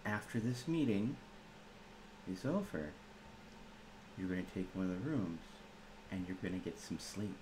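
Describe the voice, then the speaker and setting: A man's voice: deep voice, very animated, measured pace, pauses often. A man playing a tabletop role-playing game, speaking casually as he describes what his character does and says. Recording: clear background noise, keyboard typing.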